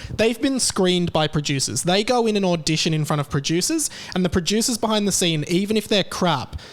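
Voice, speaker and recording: A man's voice: high voice, animated, brisk. A young Australian man hosting a casual commentary show, chatty and sarcastic. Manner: chatty and sarcastic, laughing at his own jokes. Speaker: podcast host.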